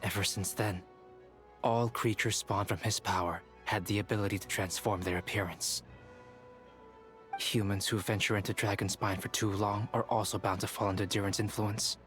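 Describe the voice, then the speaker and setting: A man voicing a composed, clinical young alchemist in a video game, measured and formal. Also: on the low side, steady tone, a bit bright, natural voice. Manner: measured, matter-of-fact, formal. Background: game music.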